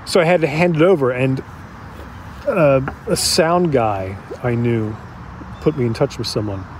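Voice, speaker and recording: An actor playing a regretful man confiding in an interviewer, in a halting, reflective, conversational way for an audio drama. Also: medium pitch, a little hiss or hum, close to an everyday voice. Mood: reflective, frank.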